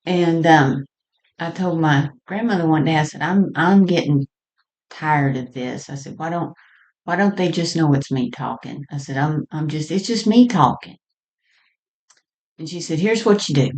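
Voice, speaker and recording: A woman telling personal stories in a halting, conversational way with frequent small chuckles as she reminisces. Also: sits low, darkish tone. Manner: halting and conversational, often chuckling mid-sentence. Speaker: storyteller sharing personal experience.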